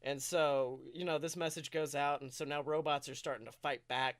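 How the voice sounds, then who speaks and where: A man co-hosting a podcast, chatting casually in an excitable, jokey storytelling manner. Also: fairly high pitch, moderate pace. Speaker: podcast co-host. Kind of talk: animated casual podcast chat.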